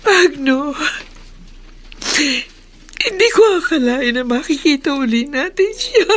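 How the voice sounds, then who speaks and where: Slow-speaking, anxious, guilt-ridden mother. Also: high voice, expressive. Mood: shaken. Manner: fearful, slow, weighted delivery. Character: anxious, guilt-ridden mother who sold her child. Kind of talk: Tagalog radio drama acting. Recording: music behind studio drama voice.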